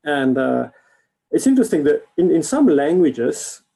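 A man preaching in a relaxed, halting way with many fillers, an Afrikaans speaker. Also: on the high side, vocal fry, slightly rough. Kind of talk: informal sermon. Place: church hall.

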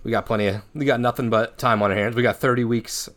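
A man's voice: mid-range voice, steady tone, quick pace, fairly bright tone. A man co-hosting a fan podcast, chatting informally in a casual, self-deprecating way. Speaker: co-host of a horror attraction fan podcast. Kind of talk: casual chat.

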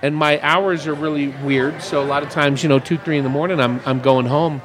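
A man's voice: a little high, fairly even intonation, fairly quick, crowd noise. A man in his fifties hosting a casual chat in a café, joking and rambling through stories. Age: 50s. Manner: jokey, rambling storyteller. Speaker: podcast host.

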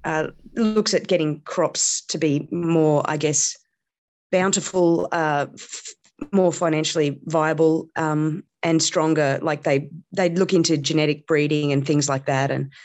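A woman's voice: low-pitched, steady tone, measured pace, a little mellow. A middle-aged woman, a comedian chatting casually as an interview guest, hesitant with many fillers. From Australia.

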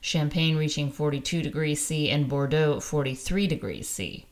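A woman's voice: low-pitched, normal speed. A woman co-hosting a wine show, reading out news items and asking her co-host questions in a lightly teasing way. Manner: newsy, inquisitive, lightly teasing. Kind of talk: conversational wine news presenting.

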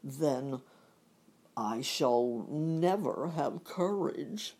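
A woman voicing a big, timid male lion in a storybook reading, in a loud, full and earnest way. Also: a bass voice, expressive, very slow, exaggerated voice.

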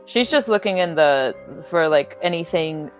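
A woman's voice: deep voice, animated, moderate pace, soft background music, low-quality recording. A woman playing a tabletop role-playing game, giggling and narrating what her character does. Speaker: player. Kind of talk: casual tabletop role-play.

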